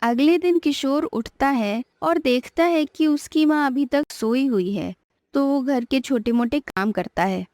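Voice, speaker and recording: A woman's voice: high-pitched, unhurried, darkish tone. A woman narrating a scripted moral cartoon story as its storyteller.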